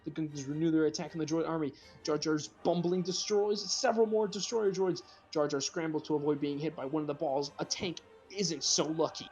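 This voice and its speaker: A man's voice: on the high side, fairly even intonation, fairly quick, a little mellow, highs cut off, soft background music. A man narrating a script informally for an amateur comedy reading, sometimes stumbling over the words.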